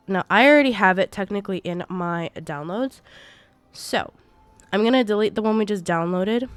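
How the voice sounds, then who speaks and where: A woman in her twenties with a congested cold voice, walking viewers through a software tutorial in a chatty, rambling way. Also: medium pitch, smooth, no room sound. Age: 20s. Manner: chatty, rambling, self-correcting. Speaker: gaming YouTuber. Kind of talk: casual screen-recorded tutorial.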